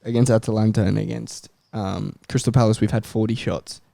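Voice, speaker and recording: A man's voice: lowish voice, fairly even intonation, fairly quick, creaky, warm tone, no room sound. A man talking casually and emphatically as a football podcast panellist, in colloquial language. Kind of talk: casual chat, football debate.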